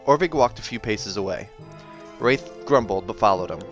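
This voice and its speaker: A man's voice: on the low side, expressive, normal speed. A man hosting a read-aloud, narrating a fantasy novel and dropping in casual asides. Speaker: host reading a novel aloud. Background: background music.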